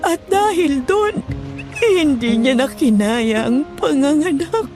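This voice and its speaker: A woman's voice: high-pitched, expressive, slow. A woman playing a remorseful, fearful middle-aged aunt in a dramatized horror story, apologetic and pleading. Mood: tearful confession. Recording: music bed underneath.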